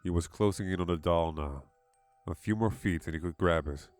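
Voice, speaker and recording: A man's voice: sits low, a little fast, darkish tone, no room sound, soft background music. A man reading a scripted horror story as its narrator.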